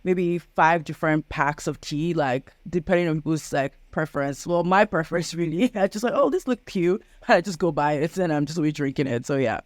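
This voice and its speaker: A woman's voice: low-pitched, a little fast, hardly any pauses, no room sound, studio quality. A young woman from Nigeria, a guest chatting casually on a video call and filling her talk with "like". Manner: chatty, frequent "like" fillers, laughs easily.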